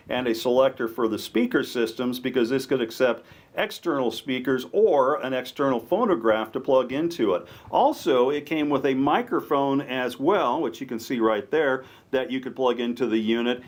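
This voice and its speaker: A man's voice: medium pitch, a bit bright. A man with a mid-range voice presents items in an informal show-and-tell. He speaks as a host would, explaining things step by step in a conversational way. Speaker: radio station host.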